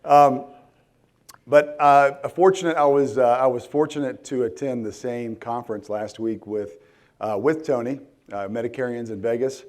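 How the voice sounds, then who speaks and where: A hoarse man in his forties speaks to a room at a conference. His voice is tired and worn out. He talks loosely, with frequent ums, uhs and restarts. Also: medium pitch, normal speed, a little mellow. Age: 40s. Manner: casual, many ums and restarts. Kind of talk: live conference talk. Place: conference hall.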